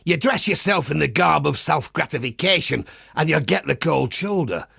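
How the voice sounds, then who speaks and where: A man voicing a pious, wry crusader priest in a video game, with a husky, gravelly voice and a measured, folksy preacher's manner. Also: a little high, animated, bright, forceful tone, no echo, clean studio sound, heavily acted. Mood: wry, fond. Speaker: game character. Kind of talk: scripted game character monologue.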